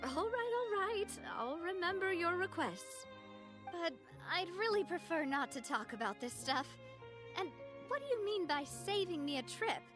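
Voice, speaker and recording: A woman playing a sincere, big-hearted young gentlewoman in an anime video game, with an expressive delivery. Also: high voice. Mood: playful, fond. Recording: background music under dialogue.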